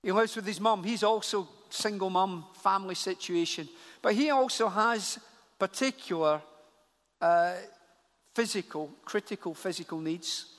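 A man's voice: high voice, measured pace, a bit bright. A man from Glasgow, a church leader making an earnest appeal to the congregation during a service. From Glasgow, Scotland. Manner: earnest, repeats phrases for emphasis. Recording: church hall reverb.

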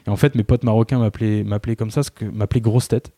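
Guy in his 30s rambling thru a story, lowish mellow voice, dry close sound. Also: steady tone, fast talker, no echo. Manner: chatty storyteller, self-mocking. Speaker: guest. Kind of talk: casual podcast conversation.

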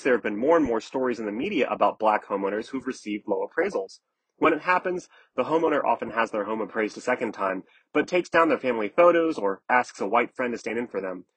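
A man's voice: medium pitch, a bit bright, breathy edge, no room sound, poor audio. A man narrating a news article from a script as a reporter.

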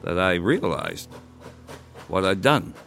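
An actor playing an emotional war veteran in his eighties, halting and gravelly, in an audio drama monologue. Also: low-pitched, huge pitch swings, a bit bright, heavily acted. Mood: wistful, moved. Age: 80s. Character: 87-year-old war veteran, tearfully remembering his homecoming. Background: guitar music.

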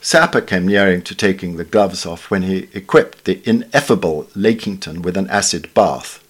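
A British man reading a scripted literary essay in a formal, measured, wryly understated way. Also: sits low, husky. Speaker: reader of newspaper essays.

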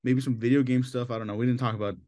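A man hosting a podcast in casual chat, his voice a little raspy, rambling with lots of filler words. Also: medium pitch, flat delivery, racing, darkish tone. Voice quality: slightly raspy voice. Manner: chatty, loose, with many filler "like"s. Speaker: podcast host.

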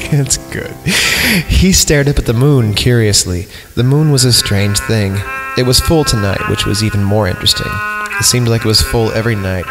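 Man, low pitch, creaky fry, podcast host, comedic horror reading, casual irreverent asides, music underneath. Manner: irreverent, mock-disgusted, often breaks off to comment. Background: background music.

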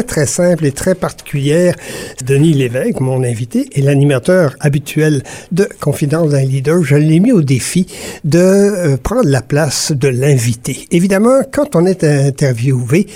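A man's voice: a little high, mellow. A man, the show's producer, interviewing the host in a courteous, formal way. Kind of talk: radio-style interview.

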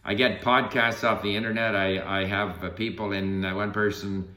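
A man's voice: on the low side, fairly even intonation, moderate pace, pushed and bright. An older man chatting casually and thoughtfully about learning languages, often restarting his sentences. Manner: rambling, thoughtful, many restarts. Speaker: language learner being interviewed. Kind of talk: casual conversation. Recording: echoey room.

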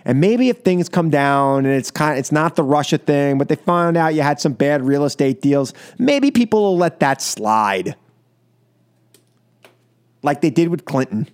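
American guy doing a political radio rant, pretty high voice, emphatic and scolding, keeps hammering short phrases. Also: lively intonation, a little slow, a little mellow, clean tone. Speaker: radio talk show host. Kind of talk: political opinion monologue on call-in radio.

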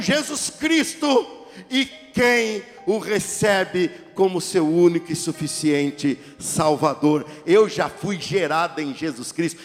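A middle-aged man preaching a sermon to his church, dramatic, rising to shouts at the peaks and joking with the congregation. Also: high-pitched. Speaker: pastor. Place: church sanctuary. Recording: echoey church hall with music.

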